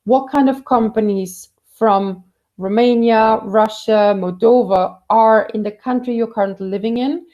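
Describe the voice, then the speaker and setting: Casting note: woman, career coach, warm mellow tone, chatty and loose with "um"s and restarts. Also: fairly high pitch. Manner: conversational, frequent fillers and restarts. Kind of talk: live Q&A career advice.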